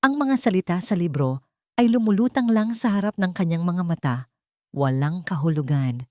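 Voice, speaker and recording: A woman with a low-pitched voice tells a dramatic scripted story. Her delivery is measured and theatrical, and the sound is dry, with very little room. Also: expressive, a little mellow, dry recording. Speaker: audio drama narrator.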